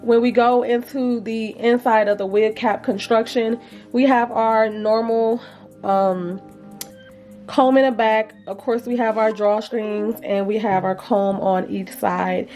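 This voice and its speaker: A woman vlogger chatting casually and playfully to viewers about beauty products, with a slightly raspy, froggy voice and an informal manner. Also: on the high side, steady tone, slow, darkish tone, soft background music. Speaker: vlogger reviewing wigs.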